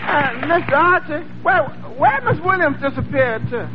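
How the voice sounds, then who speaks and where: A man's voice: extremely high pitch, expressive, normal speed, a loud crowd, very noisy. A man playing a sly, sceptical waiter who teases his boss in folksy, drawling speech in a 1940s radio comedy. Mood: teasing doubt. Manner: drawling, teasing, folksy grammar. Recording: muffled vintage radio recording, loud music.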